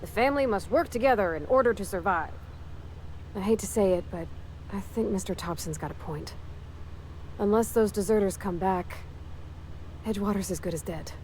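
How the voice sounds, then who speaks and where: A woman's voice: mid-range voice, normal speed, long pauses, a little mellow, slight background noise, lightly acted. A woman voicing an earnest, kind-hearted young colony mechanic in a video game, hesitant and apologetic, with folksy phrasing. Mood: reluctant, thoughtful. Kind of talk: naturalistic video game dialogue acting.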